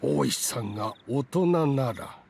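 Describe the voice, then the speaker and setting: An actor playing a courteous, calculating police chief in a Japanese visual novel, politely applying pressure. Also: male voice, medium pitch, expressive, moderate pace, vocal fry, darkish tone, a little hiss or hum. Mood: politely pressuring. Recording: game audio over insect and street ambience.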